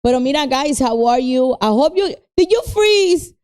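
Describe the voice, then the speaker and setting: A woman's voice: high voice, lively intonation, moderate pace, darkish tone, clean tone. A woman with a New York accent hosting a casual chat show while under the weather, laughing often and switching between Spanish and English. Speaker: host.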